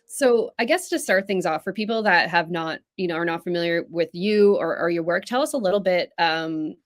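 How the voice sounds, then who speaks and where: A woman's voice: on the low side, moderate pace. A woman co-hosting a podcast interview, asking rambling, hedging questions in casual chat. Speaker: podcast co-host.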